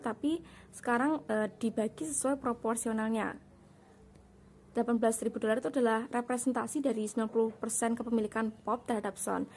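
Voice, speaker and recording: A woman's voice: fairly high pitch, a little mellow, slight background noise. A young woman, a student giving an explanatory class presentation. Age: young adult.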